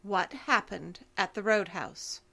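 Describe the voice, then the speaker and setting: A businesslike female interrogator in an audio drama. She speaks at a middling pitch in a cool, dry, formal manner, controlled and persistent. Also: slow pace, creaky, sharp tone, full character voice. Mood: insistent, dry.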